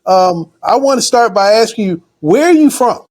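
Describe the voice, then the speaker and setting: A man with a notably high voice hosts an interview and asks his questions hesitantly. He often repeats words before he gets the question out. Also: expressive, moderate pace, darkish tone. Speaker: show host.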